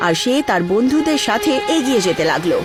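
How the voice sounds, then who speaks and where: A woman's voice: fairly high pitch, big pitch swings, fairly quick. A woman narrating a scripted cartoon story as its storyteller. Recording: music behind the voice.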